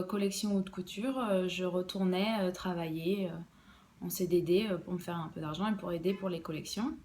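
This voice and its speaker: A woman's voice: lowish voice, darkish tone, slight background noise. A young woman, an embroidery designer, explaining her work in a casual podcast interview, hesitant and full of fillers and restarts.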